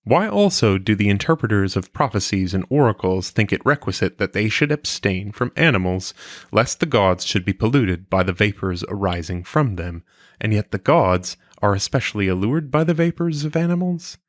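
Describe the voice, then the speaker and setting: A man reading an old philosophical text aloud in a measured, formal manner, with clear phrasing, like an audiobook. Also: fairly low pitch, expressive, dry recording, clean studio sound.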